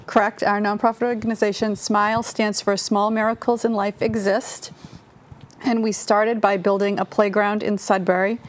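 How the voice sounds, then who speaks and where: Relaxed woman, studio guest. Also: medium pitch, moderate pace. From Massachusetts. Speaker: guest, nonprofit cofounder. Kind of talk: interview answers.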